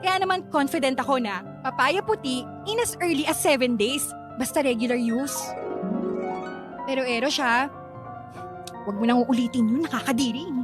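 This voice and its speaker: A woman's voice: sits high, moderate pace, long pauses, natural voice. An actress playing a feisty, sulky young Filipino woman in a Taglish radio drama, sassy and taunting. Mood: teasing, warm. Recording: music bed behind the voice.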